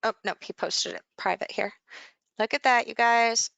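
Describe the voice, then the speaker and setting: High-voiced woman, clear and crisp, coaching live. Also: moderate pace, a bit bright, no room sound, lo-fi sound. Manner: conversational, explanatory, thinking aloud. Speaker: trading coach hosting a live session. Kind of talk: informal live chart commentary.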